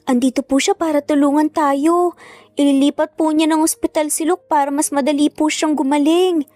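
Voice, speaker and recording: A woman's voice: sits high, steady tone, normal speed, mellow, no room sound, voice pushed up, natural voice. A woman voicing a polite, earnest young boy who pleads respectfully in a narrated family drama. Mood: eager, hopeful. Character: polite, pleading young boys, worried for their mother.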